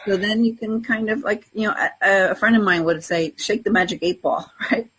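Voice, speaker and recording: A woman's voice: mid-range voice, moderate pace, a bit bright, husky, low-quality recording. A woman co-hosting a spiritual talk show, chatting informally and reflectively, often voicing inner self-talk. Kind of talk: casual unscripted conversation about spirituality.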